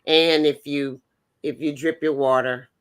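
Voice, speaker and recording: A woman's voice: deep voice, steady tone, unhurried, clear, resonant tone. A woman hosting a casual live music show, folksy and chatty, often addressing her audience as y'all. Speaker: host of a music spotlight show.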